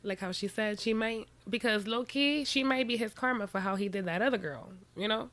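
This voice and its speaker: Woman, reaction host, sassy, animated, bright tone, dry close mic. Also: on the high side, fairly quick, no room sound. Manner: sassy, animated, talks straight to viewers. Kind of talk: casual reaction commentary.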